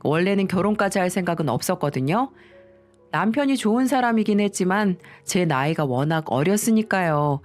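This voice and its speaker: Woman narrating a personal story over music. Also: fairly low pitch. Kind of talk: scripted first-person confessional narration.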